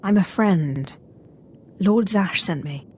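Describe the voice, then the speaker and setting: An actress playing a cold, ruthless Sith apprentice in a video game, haughty and curt. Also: female voice, on the low side, slow pace, darkish tone, a little hiss or hum. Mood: cool.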